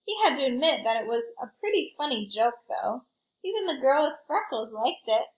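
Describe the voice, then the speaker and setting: A woman reading a storybook aloud in an expressive, child-directed way, with chatty asides to her young listeners. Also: sits high, moderate pace, roomy sound, low-quality recording. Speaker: school librarian reading aloud.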